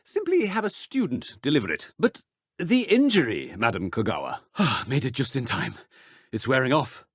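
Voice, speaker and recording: A man's voice: medium pitch, huge pitch swings, normal speed, husky, no room sound, clean studio sound. A man with a British accent playing a pompous, theatrical headmaster of a wizarding school in a video game. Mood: brisk, conspiratorial. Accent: British English. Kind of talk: video game dialogue acting.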